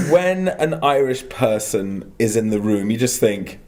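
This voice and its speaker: Easygoing English man, mid-pitched. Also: big pitch swings, measured pace, darkish tone. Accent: English with some Australian. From England. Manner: laid-back, joking, storytelling. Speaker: podcast co-host. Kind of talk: casual chat.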